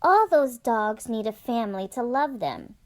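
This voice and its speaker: Woman as a gentle, slow-speaking storybook mom. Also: a little high, lively intonation. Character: gentle, patient, encouraging storybook mother.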